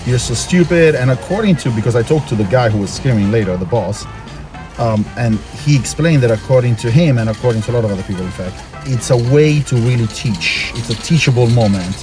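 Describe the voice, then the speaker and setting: A man's voice: mid-range voice, lively intonation, quick pace. A man from Italy chatting casually as an interview guest, explaining things at length with emphatic repetition. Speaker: interview guest, foreign worker in Japan. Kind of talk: casual street-interview chat. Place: in or by a car. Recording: music behind the talk.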